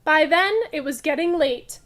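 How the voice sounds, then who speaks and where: A woman voicing an excitable child storyteller from a picture book, with animated, emphatic delivery. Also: high-pitched, moderate pace. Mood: excited.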